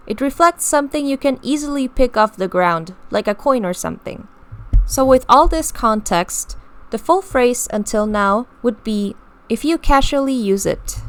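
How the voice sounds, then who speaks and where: A woman giving a scripted voice-over that explains song lyrics and language in a measured, patient way. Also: fairly high pitch.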